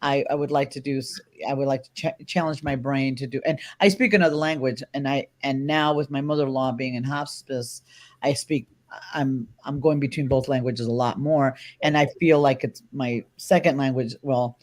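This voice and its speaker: A woman's voice: rumbling low, steady tone, normal speed, a little mellow. Chatty woman host, native Spanish speaker. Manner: chatty and personal, many fillers and restarts. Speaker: host, dog trainer. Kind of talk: casual conversation.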